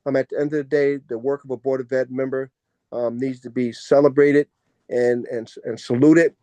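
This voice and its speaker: Measured American man with a mellow voice. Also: mid-range voice, fairly even intonation, no room sound. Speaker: school board member speaking in a meeting. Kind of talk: meeting remarks.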